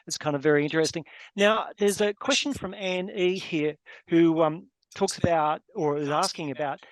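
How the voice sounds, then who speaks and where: A man's voice: fairly high pitch, normal speed, no room sound, poor audio. A man moderating a webinar panel over a video call, asking long questions that wander and correct themselves. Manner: rambling, self-correcting questions. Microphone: video call microphone.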